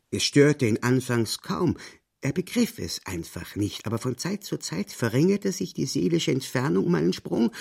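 Husky, expressive male narrator. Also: on the high side. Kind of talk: scripted literary narration for an audio drama.

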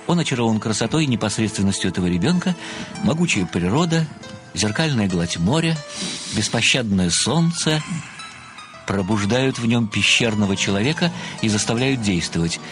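An actor playing an earnest pensioner, an amateur detective and devoted admirer, speaking with formal, deferential precision in a theatrical audio drama. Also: male voice, fairly low pitch, expressive, moderate pace, over music, lightly acted. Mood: rapturous. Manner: formal, deferential, precise, declamatory when enthused.

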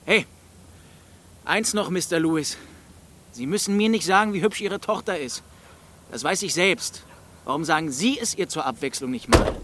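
Man playing a defiant, higher-voiced teenage boy. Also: animated, normal speed, pauses often, fairly bright tone. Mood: blunt. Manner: blunt, sarcastic. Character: defiant, embittered teenage ex-boyfriend. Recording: faint background noise.

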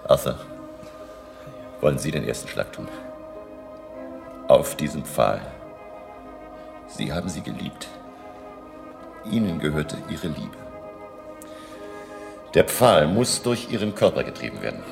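A solemn vampire hunter in a horror radio play, over music. His voice is deep and creaky, with wide, emphatic swings. He commands like a grave mentor. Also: sing-song, slow pace, pauses often, exaggerated voice. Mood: solemn, urging. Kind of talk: German radio drama acting.

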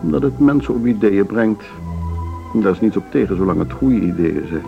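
An actor playing a genteel, cautious older board member in a Dutch radio drama, speaking formally and without hurry. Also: male voice, on the low side, fairly quick, creaky. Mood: cautious, smooth. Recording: dull radio recording with music behind. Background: music.

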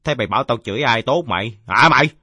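A man's voice: medium pitch, lively intonation, quick pace, pushed and bright, husky, dry recording, pitched above the performer's own voice. A man voicing a belligerent, drunken village father in a story, blustering and coarse-mouthed. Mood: indignant.

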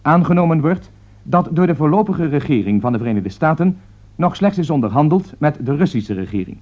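An actor playing a grave radio newsreader giving an emergency bulletin in formal, measured Netherlands Dutch. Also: male voice, fairly high pitch, very animated, darkish tone. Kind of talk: 1960s radio drama news bulletin. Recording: dull vintage 1960s radio recording.

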